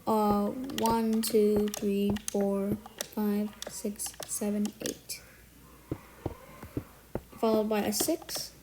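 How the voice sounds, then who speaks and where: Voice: mid-range voice, completely flat delivery, extremely slow, long pauses, noisy background. A tutorial maker who is a bit sick today talks step by step through a building tutorial, counting out blocks and correcting small slips along the way. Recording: music playing under the voice.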